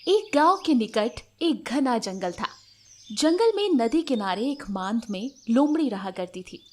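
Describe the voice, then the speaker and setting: Female narrator, higher-pitched, for scripted children's animal fables. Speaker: storyteller.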